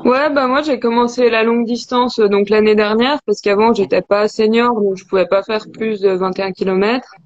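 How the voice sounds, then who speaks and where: A woman's voice: on the high side, fairly even intonation, moderate pace, a little mellow. A woman, answering interview questions casually as an athlete over a video call. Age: 20s. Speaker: athlete being interviewed, cross-country skier. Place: indoors in a wood-panelled room. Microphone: video call, device at arm's length. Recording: dull lo-fi video call sound.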